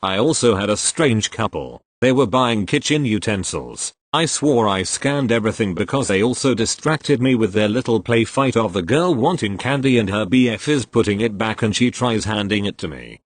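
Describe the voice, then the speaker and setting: A man narrating retail stories in a steady reading voice that speaks punctuation aloud. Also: on the low side, moderate pace, few pauses, no room sound. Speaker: narrator reading online posts. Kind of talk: scripted narration.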